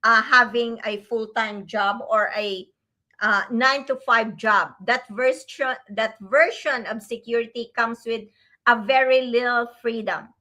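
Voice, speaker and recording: Older woman from the Philippines, co-host; high-ish voice, slow earnest delivery. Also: fairly even intonation, bright, forceful tone. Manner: slow, earnest, with frequent fillers. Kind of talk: casual online talk show.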